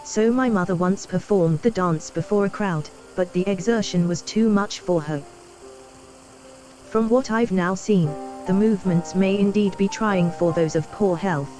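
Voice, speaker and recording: An actress playing a gentle, frail young noblewoman in a video game, with formal, measured, polite phrasing. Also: mid-range voice, close to an everyday voice. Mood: pensive. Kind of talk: scripted video game dialogue. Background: game music.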